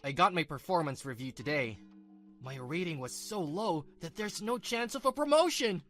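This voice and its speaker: A man acting a domineering husband in a manga dub. His voice sits fairly high for a woman's range but low beside one, scornful and quick to blame. He sounds self-pitying and short-tempered, with music behind him. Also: expressive, a bit bright. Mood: angry, blaming. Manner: scornful, blaming.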